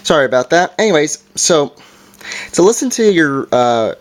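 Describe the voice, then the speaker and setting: A man's voice: on the high side, very animated, normal speed. A man giving an informal, hands-on product review in a casual explaining style, recorded indoors. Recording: background noise with handling clicks.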